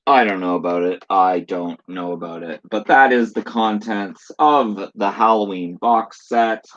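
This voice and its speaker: Low-voiced man, hoarse, chatty horror collector. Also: a little slow, a bit bright, dry recording, lo-fi sound. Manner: reads box blurbs aloud in a chatty, offhand way. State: losing his voice. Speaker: channel host. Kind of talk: casual unboxing chat. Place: room at home.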